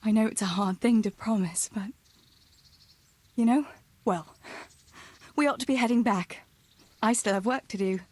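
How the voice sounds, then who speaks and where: An actress playing a tender, gently teasing young village woman in a medieval video game, soft-spoken and earnest. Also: mid-range voice, moderate pace, pauses often, no room sound, natural voice. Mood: tender, worried. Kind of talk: naturalistic video game dialogue acting.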